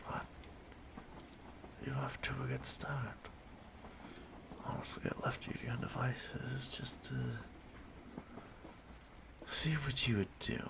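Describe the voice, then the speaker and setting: A man playing an ageless stalker in an audio roleplay, speaking to his prey in a hushed, halting, softly menacing way, often breathy and whispering. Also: medium pitch, very noisy. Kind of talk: audio roleplay monologue addressed to the listener.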